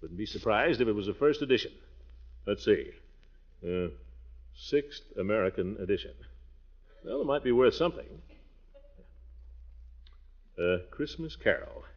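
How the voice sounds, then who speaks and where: An actor playing a pompous, blustery middle-aged uncle in a theatrical 1940s radio comedy style. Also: male voice, on the low side, expressive, moderate pace, pauses often. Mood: settled, fond. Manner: theatrical, pompous, wounded dignity. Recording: muffled old radio broadcast.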